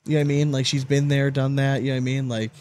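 A man's voice: medium pitch, flat delivery, quick pace, darkish tone. A man hosting a casual sports podcast, talking in a blunt, rambling, loose way with some swearing. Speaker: podcast host.

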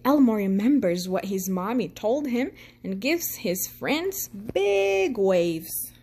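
A woman reading a children's picture book aloud in a gentle storytelling manner. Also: mid-range voice, sing-song, takes it slowly, slight background noise. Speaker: storytime reader.

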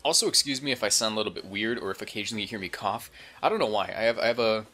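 Bright-voiced, slightly congested man commentating a game. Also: fairly low pitch, very fast. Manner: informal, thinking aloud. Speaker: gaming video host. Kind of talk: casual gameplay commentary.

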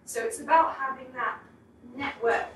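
A woman's voice: high-pitched, moderate pace, fairly bright tone. A woman in the audience at a public talk, asking a long, reflective question. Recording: echoey room, distant mic, faint noise.

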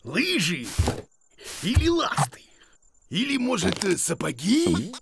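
High, wildly animated blustering cartoon creature. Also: male voice, takes it slowly, creaky, background noise, heavily acted. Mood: busy, self-important. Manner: pompous, exclaiming, muttering. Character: blustering, silly, boastful hairy male creature. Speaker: main character of children's cartoon.

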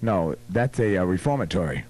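A man's voice: low voice, animated, brisk, a little mellow, a little hiss or hum. A man bantering casually and playfully as a radio host. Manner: playful, teasing. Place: radio studio. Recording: 1980s radio aircheck with music behind. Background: music.

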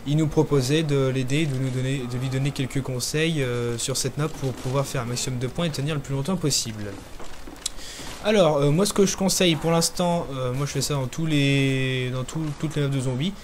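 A man's voice: medium pitch, a little hiss or hum. Hesitant young man narrating gameplay. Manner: hesitant, many pauses and fillers, talks while playing. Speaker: gaming channel host. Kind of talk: casual gameplay commentary.

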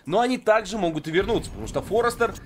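A man's voice: sits high, lively intonation, very fast, background noise. A man giving live play-by-play commentary as an esports caster.

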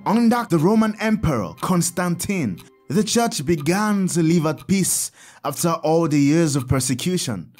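A kindly, learned priest in an audio drama. His voice sits a little high for a man and moves through lively rises and falls. He explains things to children slowly and patiently, over faint music.

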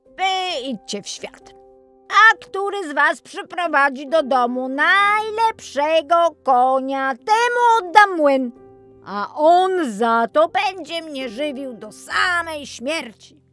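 A woman's voice: high voice, expressive, slow pace, bright, forceful tone, faint music behind, pitched above the performer's own voice, full character voice. A woman voicing a stern old male miller in a fairy tale, speaking emphatically and drawing out words. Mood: declaring.

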